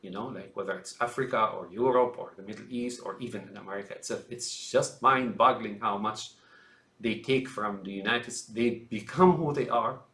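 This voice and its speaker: A man's voice: lowish voice, moderate pace, bright, forceful tone, roomy sound. A man from Syria giving a rambling, emphatic political monologue, often calling his listeners folks. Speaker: commentator.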